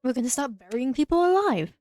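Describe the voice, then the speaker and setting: A woman's voice: high voice, expressive, quick pace, darkish tone. A woman giving casual, wry, joking commentary as she plays through a video game. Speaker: let's player. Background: game music.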